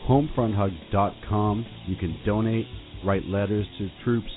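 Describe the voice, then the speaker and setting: A man reads an upbeat radio promo in a very deep voice. The audio is tinny and phone-like. Soft music plays underneath. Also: steady tone, normal speed. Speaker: radio host. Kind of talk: promo read.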